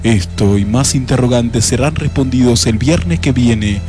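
A man's voice: mid-range voice, unhurried, music in the background. A man narrating a scripted comic radio drama in a mock-dramatic manner.

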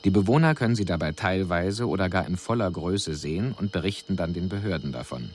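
A very low male voice with wide, lively intonation, an alien envoy giving a formal report. He is measured and analytical, in a radio drama with some background noise. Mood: pointed, factual.

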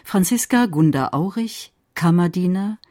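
A woman radio announcer reading scripted credits in a measured, formal manner. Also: lowish voice, lively intonation, mellow, no room sound, studio quality.

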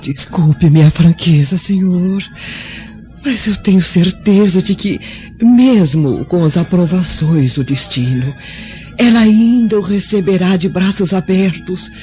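A woman's voice: fairly low pitch, a little slow, mellow, lightly acted. An actress playing a humble, devoted old female servant in a radio drama, hesitant and deferential. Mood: tender, respectful. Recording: dull lo-fi audio, faint music behind.

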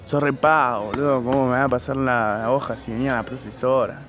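Un varón haciendo de alumno argentino vago y calentón. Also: male voice, mid-range voice, fairly even intonation, a little fast, a bit bright, close to an everyday voice. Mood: angry. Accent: Argentine Spanish. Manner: slangy, pleading and blaming. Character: slangy, hot-tempered teenage schoolboy slacker. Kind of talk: amateur school radio play.

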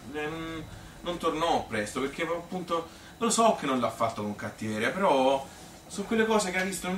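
A man's voice: on the high side, a bit bright. A man playing a plain-spoken Tuscan mother who reminisces in a rambling, hesitant, conversational stage monologue full of self-questioning. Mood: rueful. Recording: roomy, distant stage recording with noise.

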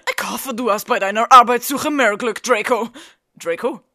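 A woman's voice: on the high side, moderate pace, bright, forceful tone, no echo, studio quality. A woman playing an embarrassed, evasive middle-aged villain father who sells snacks, self-pitying, in a comic audio play.

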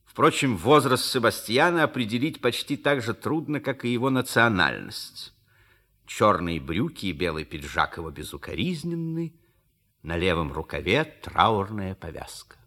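A man's voice: lowish voice, very animated, normal speed, bright, forceful tone, full character voice. A man acting as the urbane narrator of an audio stage comedy, reading in a theatrical scripted style. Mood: measured, wry. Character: urbane narrator describing the characters.

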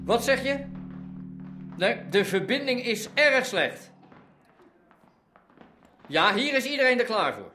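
An actor playing a wary, scheming crime boss in a radio drama. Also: male voice, very high-pitched, sing-song, takes it slowly, long pauses, bright, forceful tone, soft background music, exaggerated voice. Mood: businesslike.

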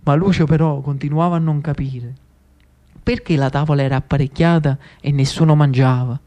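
A man with a Neapolitan accent narrating a historical monologue in a dramatic, expressive storytelling manner. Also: on the high side, a little fast, muffled recording. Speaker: actor and storyteller.

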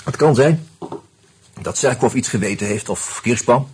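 An actor playing an earnest, troubled man turned amateur sleuth in a Dutch radio play, insistent and halting as he reasons aloud. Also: medium pitch, a little mellow, slight background noise, natural voice. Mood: brooding, intent. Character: earnest, agitated amateur sleuth, a murdered man's loyal friend.

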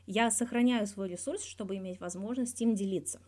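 A woman's voice: medium pitch, fairly quick, darkish tone, natural voice. A woman voicing a candid, wry young male star athlete giving a guarded but blunt interview in a story. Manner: guarded yet blunt, dryly teasing, swears freely.

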